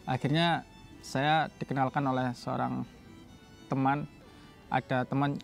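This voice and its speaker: A young adult man from East Java, telling a personal story casually in a halting way, often correcting himself. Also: medium pitch, level tone, gravelly, soft background music. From Jombang, East Java, Indonesia. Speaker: doctoral student telling his story.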